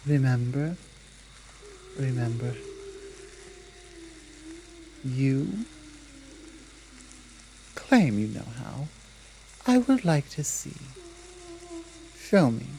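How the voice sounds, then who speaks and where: A woman voicing a dominant, muscular female werewolf pack leader in a measured, deliberate ASMR roleplay. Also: a bass voice, lively intonation, warm tone, slight background noise, voice pushed down, exaggerated voice. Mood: proud, challenging.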